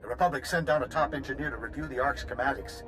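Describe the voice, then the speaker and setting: A man voicing an urgent leader organizing an evacuation in a sci-fi game, with a brisk, commanding manner. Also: medium pitch, music in the background, lightly acted. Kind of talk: scripted game dialogue.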